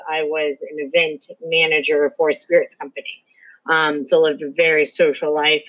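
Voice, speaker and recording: A woman's voice: deep voice, fairly bright tone, low-quality recording. A woman in her thirties from Louisville with a Kentucky accent, co-hosting a casual podcast in a sociable, laughing way. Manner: friendly, sociable, laughs often. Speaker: podcast co-host.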